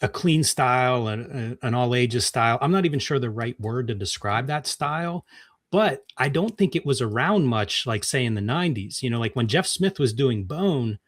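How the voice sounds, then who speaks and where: A man's voice: mid-range voice, expressive, moderate pace, no echo. Middle-aged male interviewer, mid-range voice, dry close recording, thoughtful conversational delivery. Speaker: interviewer, co-host. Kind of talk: casual discussion.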